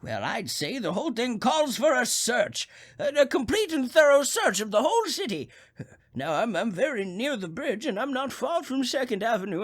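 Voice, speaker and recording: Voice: medium pitch, husky. An actor playing a crotchety, nervous old male invalid who stammers insistently on the telephone, in old-time radio suspense style. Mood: demanding.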